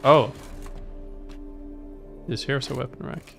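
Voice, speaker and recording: A man chats casually through a live game stream, thinking aloud as he goes. His voice is medium in pitch with noticeable fry. Music plays quietly in the background. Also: takes it slowly. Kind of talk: casual live gameplay commentary.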